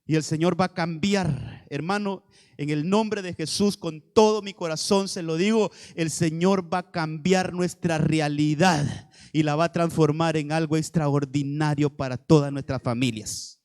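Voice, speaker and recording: A male evangelical pastor with a Honduran accent delivers a sermon in a notably high voice. He exhorts his listeners directly and repeats phrases to press his point. The sound carries the long reverb of a large hall. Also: fairly even intonation, a little slow, darkish tone. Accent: Honduran Spanish.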